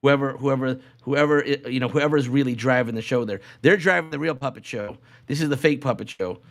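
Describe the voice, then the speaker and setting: A man co-hosting a casual unscripted podcast, speaking haltingly and repeating phrases for emphasis. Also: medium pitch, a bit bright. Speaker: podcast co-host.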